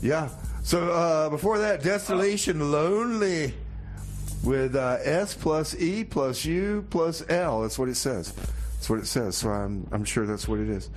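A man hosting a radio show with casual comedic banter and song announcements, rambling and halting as he goes. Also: a little high, faint music behind. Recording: clear background noise.

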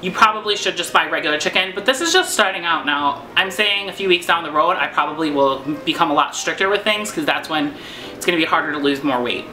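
A man's voice: fairly high pitch, lively intonation, quick pace, pushed and bright, a little hiss or hum. A young man from New York chatting casually about meal prep in his home kitchen, rambling with many fillers and self-deprecating asides. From New York, USA. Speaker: vlogger. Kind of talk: casual home cooking vlog. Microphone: fixed camera at close range. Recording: echoey kitchen with faint music.

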